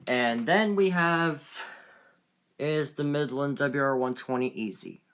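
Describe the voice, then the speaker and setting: A man presenting his collection in a casual, unscripted video, speaking haltingly and often trailing off. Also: on the high side, fairly bright tone, clean tone. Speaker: collector presenting weather radios. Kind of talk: casual unscripted show-and-tell.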